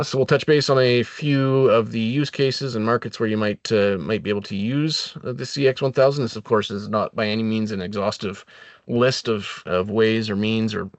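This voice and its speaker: Guy with a low gravelly voice walking thru a product training, kinda halting, very dry sound. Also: no room sound. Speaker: webinar presenter. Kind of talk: explanatory product training presentation.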